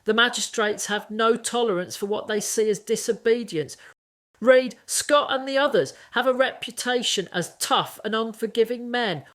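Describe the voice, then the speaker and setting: A woman acts as a practical older innkeeper in a historical audio drama. She reasons firmly, with a slightly high voice heard close and dry. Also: normal speed, fairly bright tone, no echo, natural voice. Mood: firm, cautioning. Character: firm, pragmatic older woman innkeeper of colonial homestead.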